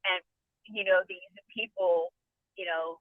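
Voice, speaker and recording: A woman's voice: lowish voice, fairly even intonation, slow pace, no room sound. A woman speaking as a talk show guest in casual but serious chat, over lo-fi call audio.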